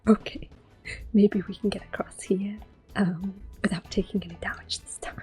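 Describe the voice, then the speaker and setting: A woman giving gentle, unhurried ASMR gameplay commentary in a soft, whispered voice, reassuring and close to the microphone. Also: mid-range voice, steady tone, faint music behind. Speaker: ASMR gaming host.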